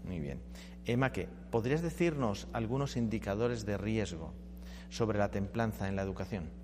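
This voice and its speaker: A man's voice: lowish voice, normal speed. A man hosting a discussion panel, talking conversationally and putting questions to his guests. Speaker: host. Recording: reverberant room with steady hum.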